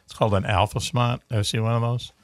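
A man's voice: medium pitch, fairly even intonation, quick pace, dry recording. A man with a Boston accent hosting a talk show, chatting in a rambling way with lots of fillers and restarts. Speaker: radio show host. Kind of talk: casual talk show chat.

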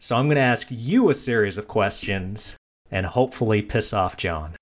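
A man's voice: fairly low pitch, expressive, normal speed. A man hosting a casual film discussion in a jokey, teasing manner. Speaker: podcast host.

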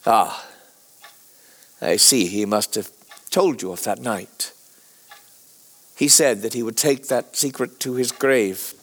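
An actor playing an anguished, guilt-ridden Victorian gentleman scientist in a radio drama, confessing haltingly and theatrically as he nears death. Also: mid-range voice. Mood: remorseful, confiding.

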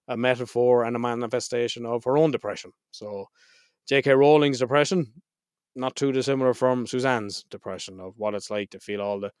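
A man's voice: medium pitch, clear, resonant tone, no room sound, studio quality. Congested man hosting a podcast. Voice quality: slightly nasal. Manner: frank, swears freely. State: head cold, congested. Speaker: podcast host. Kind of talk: casual solo podcast intro.

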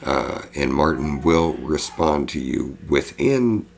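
A man's voice: very deep voice, animated, slow, gravelly. A man co-hosting a casual podcast, joking around and swearing freely. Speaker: podcast co-host.

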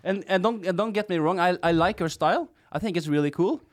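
A man, a clown talking as a podcast guest in halting, casual conversation full of restarts. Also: high-pitched. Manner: halting, many restarts and fillers, teasing.

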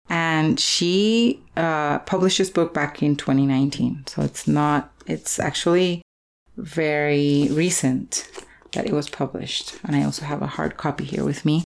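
Low-voiced woman, podcast host. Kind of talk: conversational book recommendation talk.

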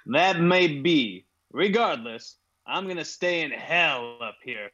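A man's voice: on the high side, animated, slow, sharp tone, dull audio, full character voice. A man playing a cocky, menacing 1930s movie gangster boss who makes wisecracking threats. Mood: menacing, smug.

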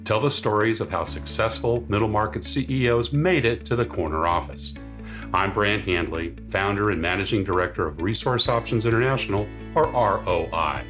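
A man hosting a business podcast, reading a deliberate intro and then chatting casually with fillers. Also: on the low side. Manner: slow and deliberate in the intro, chatty with "you know" and "uh" in the interview. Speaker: podcast host.

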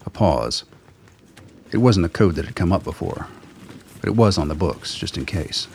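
A man's voice: low voice, expressive, normal speed, vocal fry, a little mellow, slight background noise. A man reading scripted narration for a radio drama.

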